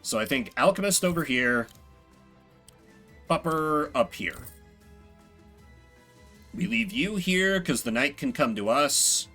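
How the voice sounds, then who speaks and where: Man, game streamer, bright forceful voice, musing aloud, lively pitch, thinking through moves. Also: takes it slowly, long pauses, faint music behind. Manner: musing, talks himself through decisions. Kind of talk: live strategy game commentary, thinking aloud.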